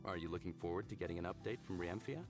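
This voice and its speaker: An actor voicing an upbeat, smitten young man in a visual novel game, speaking in an earnest, casual, expressive way. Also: low-pitched, fast talker, lightly acted. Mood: friendly. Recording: background music under the voice.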